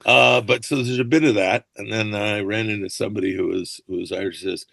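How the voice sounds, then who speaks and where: An older man with a low, gravelly voice rambles through anecdotes as a podcast guest. The sound is close and dry, with no room around it. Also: fairly even intonation, fairly quick, a bit bright, no room sound. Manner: rambling storyteller, slips into accent impressions. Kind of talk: casual interview chat.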